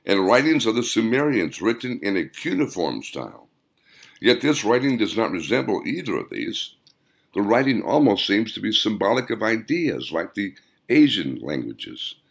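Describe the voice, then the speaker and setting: A man with a deep, creaky voice narrates an audiobook story. His delivery is deliberate and dramatic, with pitch that swings widely from phrase to phrase. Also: fairly bright tone. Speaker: author narrating own audiobook.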